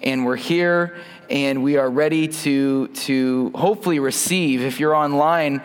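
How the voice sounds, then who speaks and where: A man's voice: medium pitch, a little slow, smooth, big-room sound. A man in his thirties giving an informal sermon and prayer with a mic on, casual and self-deprecating, often restarting his sentences. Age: 30s. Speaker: preacher. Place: church hall. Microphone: wearing a microphone.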